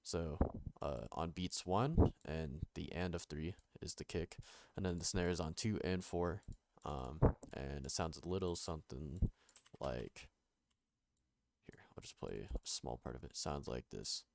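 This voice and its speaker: A young man with a nasal voice, recovering from being sick, talking casually through a music production tutorial with many pauses and fillers. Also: vocal fry, darkish tone. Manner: halting, many ums and restarts, low-key. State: recovering from illness. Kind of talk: casual screen-recorded tutorial.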